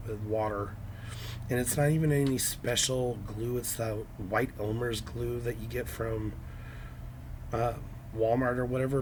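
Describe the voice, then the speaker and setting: A hoarse young man with a raspy, scratchy voice, talking through a casual nail-art how-to with lots of ums and restarts. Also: medium pitch. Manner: hesitant, many ums and restarts. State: hoarse from illness.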